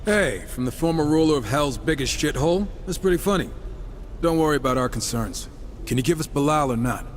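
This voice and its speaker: A man's voice: on the high side, lively intonation, fairly quick, slight background noise, natural voice. An actor playing a wisecracking, irreverent horseman of the apocalypse in a game, with sarcastic, casual banter. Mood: mocking. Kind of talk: video game cutscene acting.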